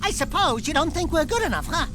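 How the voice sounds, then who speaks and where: Casting: wisecracking cartoon animal sidekick, cowardly yet boastful, high-pitched and animated. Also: male voice, heavy background noise. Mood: indignant. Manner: brash, whiny, fast-talking braggart. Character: cocky little wisecracking animal sidekick, male, excitable, cowardly. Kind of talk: animated video game cutscene acting. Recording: loud music under the voice.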